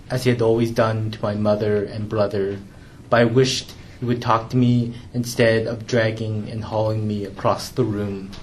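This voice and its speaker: A young man reads from his memoir in a lowish, steady voice, measured and restrained, catching on a word now and then. A faint room hum sits under the reading. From Canada. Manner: measured, restrained reading with occasional small stumbles and repeats. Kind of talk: literary reading aloud.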